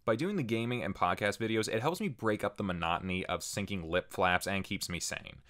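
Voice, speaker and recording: A man's voice: lowish voice, brisk, fairly bright tone. A man hosting a casual scripted channel update, talking informally to viewers with wry asides. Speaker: channel host and video creator.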